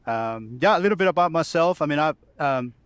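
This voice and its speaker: A man's voice: fairly high pitch, lively intonation, a little fast, clear, resonant tone, no echo. A man from Chile, a commercial banker talking in a casual podcast interview with many ums and uhs.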